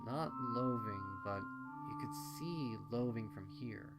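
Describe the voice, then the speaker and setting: A man reading a first-person story aloud in a conversational, measured storytelling manner. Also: medium pitch, animated. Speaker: fan fiction reader. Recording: loud music behind the voice.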